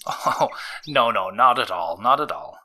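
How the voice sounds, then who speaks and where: A man playing a polite, anxious gentleman doctor in an audio drama, speaking hesitantly. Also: medium pitch, expressive, a little slow, heavily acted. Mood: polite, awkward.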